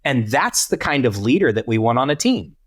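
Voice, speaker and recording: Male podcast panelist from Texas, conversational advice-giver with very lively, swinging intonation. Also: medium pitch, a little fast, husky. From Texas, United States. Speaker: podcast panelist, church leadership coach.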